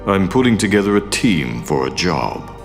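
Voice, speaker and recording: An actor playing a smug, businesslike male mercenary villain in a cartoon, with a gravelly, deliberate delivery. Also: deep voice, sing-song, in a large hall, music in the background. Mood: smug menace.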